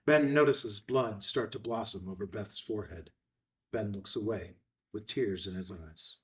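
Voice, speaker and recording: A man reading stage directions as scripted narration at a screenplay table read. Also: medium pitch. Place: indoor filmed table read. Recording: slightly distant room microphone.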